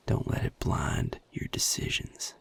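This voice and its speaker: A man playing a guarded, world-weary vampire in an intimate audio roleplay, with a Southern US accent and a breathy, gravelly drawl, speaking in measured, hushed phrases. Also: lightly acted. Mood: empathetic, firm. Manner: measured, hushed, plain-spoken with deliberate pauses. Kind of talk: intimate audio roleplay acting addressed to the listener.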